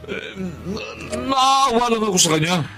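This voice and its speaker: An actor playing an evasive, flustered cheating husband in a Filipino radio drama, stammering and placating. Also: male voice, high voice, expressive, unhurried, bright, forceful tone, soft background music, full character voice. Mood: defensive.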